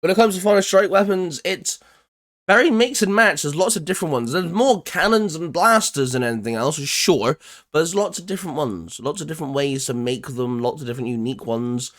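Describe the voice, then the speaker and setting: A man's voice: on the high side, a little fast, fairly bright tone. A man co-hosting a fan discussion show, talking casually and enthusiastically about geeky favourites in a loose, hedging way. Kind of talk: casual geek chat, ranking discussion.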